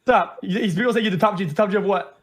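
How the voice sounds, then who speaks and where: A man with a high-pitched voice speaks casually in a livestream debate. He stumbles and restarts his sentences over and over as he tries to make his point. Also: fast. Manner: stammering, restarts a lot, casual.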